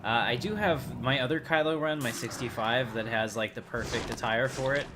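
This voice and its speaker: A man's voice: mid-range voice, normal speed. A man giving casual, rambling livestream commentary as he thinks aloud while playing a game. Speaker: livestream host. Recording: clearly noisy background.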